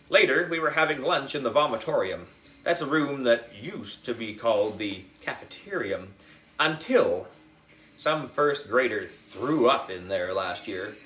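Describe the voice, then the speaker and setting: A man narrating a children's book in an animated storytelling style. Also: mid-range voice, reverberant. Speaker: audiobook reader.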